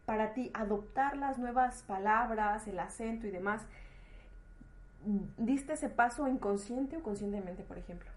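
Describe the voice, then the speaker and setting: A woman's voice: on the high side, measured pace. A woman with a Latin American accent hosting a podcast and interviewing in a casual, chatty way. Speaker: podcast host.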